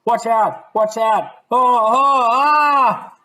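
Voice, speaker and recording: A man voicing a scrappy, quick-tempered street boy with rough, working-class speech. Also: mid-range voice, lightly acted, low-quality recording. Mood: alarmed.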